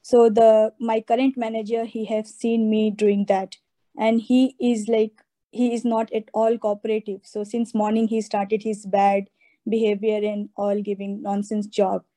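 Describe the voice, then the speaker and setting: A woman's voice: mid-range voice, flat delivery, unhurried, warm tone. A woman calling into an advice show, asking anxious questions with many likes and uhs. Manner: hesitant, filler-heavy, anxious questions. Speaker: caller.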